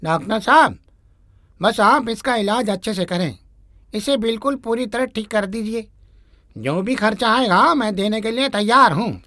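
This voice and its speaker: A woman voicing an anxious, caring male motorist in an animated moral story. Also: on the high side, a little slow, dry recording, studio quality. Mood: pleading. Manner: urgent, caring.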